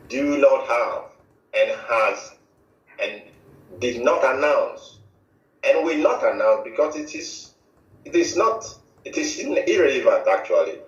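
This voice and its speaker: A middle-aged man with a Nigerian accent giving a formal statement as a spokesman on radio, emphatic and repetitive, halting with many fillers and restarts. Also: mid-range voice. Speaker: movement spokesman interviewed on radio. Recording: echoey, distant, dull lo-fi audio.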